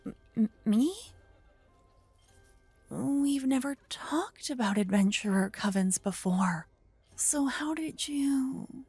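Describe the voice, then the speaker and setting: A woman playing an adorable young aspiring paladin in an ASMR roleplay, caring and teasing, soft-spoken and easily flustered into stammers. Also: sits high, animated, slow pace, long pauses, slightly rough, dry recording, faint music behind, full character voice. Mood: surprised, flustered.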